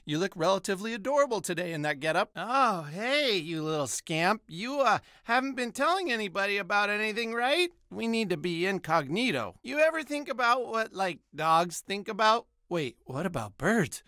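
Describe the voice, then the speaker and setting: A cartoon video game dad, with a slightly high voice that swings up and down expressively. He is middle-aged, put-upon and scatterbrained, rambling casually at his kid. The sound is dry and close. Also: sing-song, normal speed, few pauses, sharp tone, no room sound, heavily acted. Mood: casual, awkward. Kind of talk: scripted video game voice lines.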